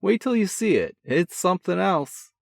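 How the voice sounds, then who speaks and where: Man putting on a boisterous older brother, raised pitch, folksy and loud, dry close sound. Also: sing-song, normal speed, vocal fry, no room sound, full character voice. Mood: excited. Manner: loud, folksy, keeps calling his brother kid. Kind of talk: audiobook character voice.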